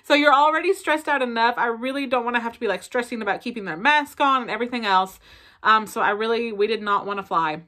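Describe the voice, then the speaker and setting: A woman's voice: fairly high pitch, fast talker. A woman in her thirties with a Southern American accent, chatting casually to the camera from her bedroom as a family vlogger, full of fillers. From South Carolina. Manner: chatty, expressive, lots of fillers. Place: bedroom at home, indoors. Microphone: camera in front at close range.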